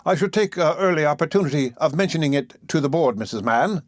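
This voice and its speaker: A fat, choleric beadle, as a man plays him, puffed up with his own importance and fond of grand words. His voice sits raised above the reader's own and a touch louder, delivered with stately, self-satisfied weight. It is a storybook voice in a dry, close recording. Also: fairly high pitch, animated, quick pace, no echo, voice pushed up, natural voice. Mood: pompous. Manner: pompous, self-important oratory. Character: fat, choleric, pompous male parish beadle.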